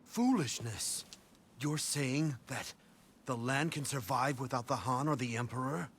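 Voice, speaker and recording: A scornful, arrogant strategist. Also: male voice, mid-range voice, a bit bright, a little hiss or hum. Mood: cold disdain. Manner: haughty, disdainful, measured. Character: cold, arrogant male strategist, scornful schemer. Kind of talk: video game cutscene acting. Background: game music score.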